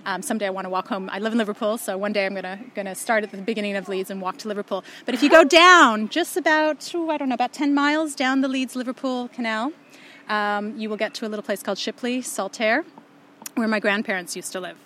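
Canadian woman, brisk talker, fairly high voice, chatty interview guest outdoors. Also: sharp tone, a little hiss or hum. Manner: enthusiastic storytelling, fillers and restarts. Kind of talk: casual conversational interview. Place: outdoors beside a canal.

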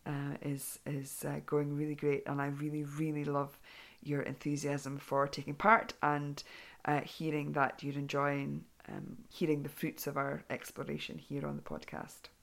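A woman hosting a craft podcast in a chatty, rambling way, full of ums and restarts, her voice going hoarse. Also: low voice, fairly even intonation, unhurried. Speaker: podcast host. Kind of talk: casual podcast chat.

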